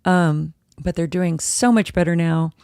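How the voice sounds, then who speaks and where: A woman hosting her own casual podcast, a little hoarse, chatty and rambling as she interrupts herself. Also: measured pace, darkish tone, dry recording, studio quality. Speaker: podcast host. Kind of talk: casual solo podcast chat, live-streamed. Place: home room with dogs. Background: dogs and a squeaky toy at times.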